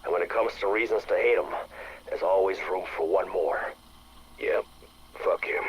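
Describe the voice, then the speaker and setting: An actor playing a gruff, bitter, foul-mouthed soldier of fortune with a raspy voice in a game. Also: male voice, low voice, moderate pace, a little hiss or hum, lightly acted, rough recording. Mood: bitter, resolved. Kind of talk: scripted game audio log dialogue.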